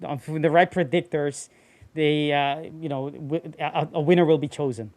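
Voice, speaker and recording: A man talking casually on a trading livestream, explaining in halting phrases full of fillers. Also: fairly high pitch, fairly even intonation, darkish tone. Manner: halting, many fillers and restarts while explaining.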